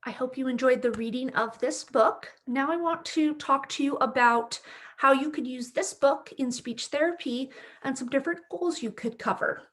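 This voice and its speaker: A woman hosting as a speech therapist, speaking in a clear educational presenting style. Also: high voice, moderate pace, fairly bright tone. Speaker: speech-language pathologist, host. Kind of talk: children's read-aloud and educational explanation.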